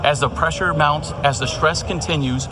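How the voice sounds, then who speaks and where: A man's voice: on the high side, normal speed. A man speaking as a union leader in a news clip, urgent and emphatic. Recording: clip with clear background noise.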